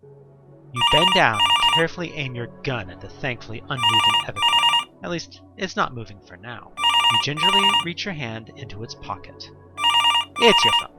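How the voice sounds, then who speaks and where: A man's voice: fairly high pitch, huge pitch swings, moderate pace, over loud music. A man narrating an audio drama as a scripted second-person story, with theatrical, emphatic storytelling.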